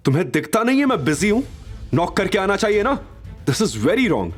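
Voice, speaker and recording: A man voicing a flustered, eager company director in his fifties, breathless and excitable, in an audio story. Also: high voice, normal speed, faint music behind, pitched above the performer's own voice. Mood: irritated. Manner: breathless, deferential to his boss, snappish with staff. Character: flustered eager fifty-year-old male company director.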